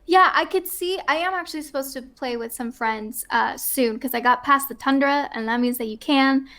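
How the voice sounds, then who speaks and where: A woman panelist on a casual gaming chat show, talking in a bubbly, excitable and self-mocking way. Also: high voice, normal speed. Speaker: regular panelist. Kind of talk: casual chat about games.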